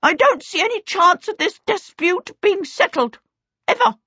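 A woman's voice: extremely high pitch, animated, moderate pace, sharp tone, dry recording, muffled recording, pitched above the performer's own voice, exaggerated voice. A woman voicing a small, aggressive male kobold chieftain, blustering and indignant, in a storybook reading. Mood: angry, resentful.

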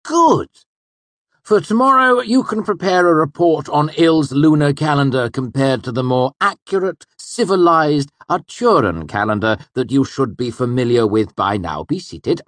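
A cutting, over-courteous master of magic, as a man narrating an audiobook puts him on, speaking high and loud with sly, sneering politeness. His voice sits well above the narrator's own and sounds pinched and altered. He relishes belittling latecomers. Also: lively intonation, a little slow, no echo. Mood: mocking. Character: haughty male magic teacher, cutting.

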